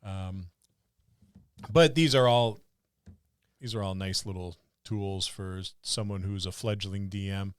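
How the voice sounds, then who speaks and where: Clear-voiced man in casual gaming talk. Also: sits low, a little slow, long pauses, no room sound, studio quality. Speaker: podcast co-host and experienced game master. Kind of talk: casual podcast chat about tabletop role-playing.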